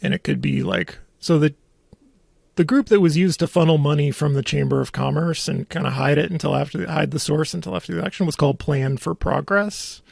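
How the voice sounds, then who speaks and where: A man with an American accent and a heavily creaky, fry-laden voice, pitched a little high for a man. He talks like a wry, sardonic host giving casual political commentary, and the sound is dry and close. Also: darkish tone, dry recording. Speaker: talk show host discussing local politics.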